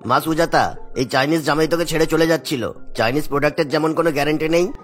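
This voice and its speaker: A fast, slightly high male voice for a cartoon father-in-law of middle age, played by a man. He is suspicious and irritable, forever scolding with indignation. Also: faint music behind, natural voice. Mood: accusing. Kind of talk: cartoon dubbing.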